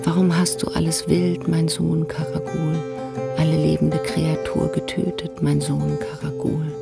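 A bereaved parent's ancient lament in a radio play. The chant is low for a woman, heavy with creak and solemnly repetitive. Loud plucked-string music plays along. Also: completely flat delivery, unhurried, full character voice. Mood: mournful. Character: grieving parent lamenting a slain son in old ballad.

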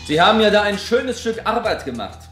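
Breezy smiling stranger, high sharp voice, calling out short lines, roomy, radio play. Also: male voice, big pitch swings, brisk. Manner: brief, clipped sentences, calling out. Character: smiling, brisk, nonchalant male stranger with a rifle. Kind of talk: experimental radio play dialogue. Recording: roomy, faint music behind.

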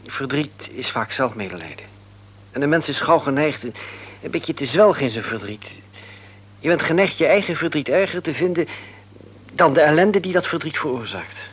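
An actor playing a middle-aged devout friend in a radio play, speaking quietly and calmly as he gives counsel. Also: mid-range voice, slightly rough. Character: calm devout friend, grief-tested middle-aged man. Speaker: friend offering comfort.

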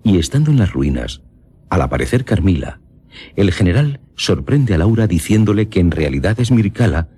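A male radio narrator reads a scripted recap. His voice is low and dark, with lively rises and falls. Light music plays behind. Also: moderate pace, husky.

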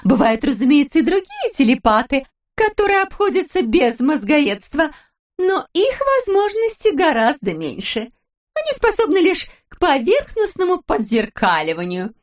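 A woman's voice: high-pitched, big pitch swings, normal speed, pitched above the performer's own voice. A woman voicing a sweet, silly brain-eating wife who coos fond pet names at her husband in a storybook.

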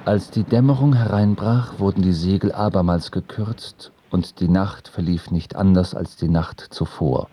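A man narrating a literary radio drama in a measured, grave storytelling manner. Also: low-pitched, fairly even intonation, dark tone, slightly rough.

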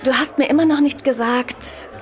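Eine Schauspielerin spielt in einem Hörspiel die schlagfertige, hartnäckige Ehefrau eines Detektivs, wissend und sanft spöttisch. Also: female voice, sits high, fairly even intonation, moderate pace, soft background music, natural voice. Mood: teasing, insistent. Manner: knowing, gently mocking. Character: witty, persistent wife of a gentleman detective. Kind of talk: German radio play acting.

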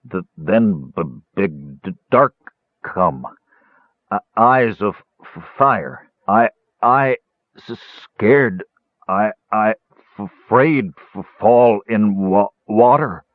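A man's voice: on the low side, animated, slow, dry recording, phone-quality sound. A man voicing a tortured, terrified male creature whose stammering speech bubbles and froths. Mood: agonized.

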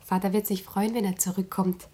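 A woman's voice: mid-range voice, flat delivery, brisk, warm tone, lightly acted. An actress in a 1950s German radio play playing a devoted, dreamy young daughter with an eager, girlish manner.

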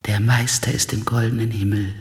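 An actor playing a devout, dotty old cult follower in a radio play. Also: male voice, fairly low pitch, flat delivery, brisk, lightly acted. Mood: devout, dreamy. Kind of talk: German radio play acting.

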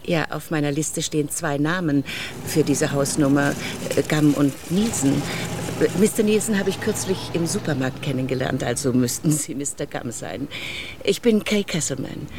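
An actor playing an eager, officiously polite civil defence recruiter in a radio drama, slightly hesitant. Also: male voice, on the high side, moderate pace, background noise, natural voice. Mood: polite, flustered.

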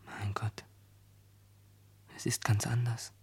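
Protective young older brother, mid-pitched. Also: male voice, little pitch movement, slow pace, breathy edge, no room sound, whispered, full character voice. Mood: hushed, bitter. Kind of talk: 1970s German radio play acting.

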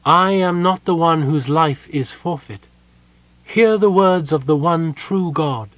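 A man's voice: on the high side, slow pace, no room sound, voice pushed up, low-quality recording. A man voicing a young girl prisoner in a fantasy audiobook, serenely devout and defiant, with a cool, earnest manner. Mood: fervent, certain. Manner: cool, earnest, with a hint of iron.

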